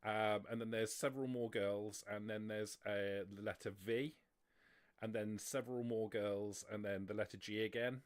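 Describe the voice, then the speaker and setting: A man running a tabletop roleplaying game, narrating off the cuff in a halting, deliberate way. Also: lowish voice, fairly even intonation, clean tone. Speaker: game master.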